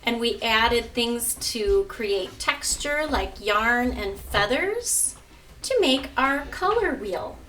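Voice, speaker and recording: A woman's voice: a little high, expressive, slow, a bit bright, recorded from a distance. A woman who teaches art and is a mother, presenting a lesson and reading a picture book aloud in an instructive way, often prompting children with questions. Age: adult. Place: at home.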